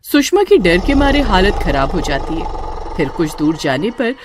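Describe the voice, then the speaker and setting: A woman narrating a horror story in an expressive storytelling manner. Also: lowish voice, moderate pace, background noise.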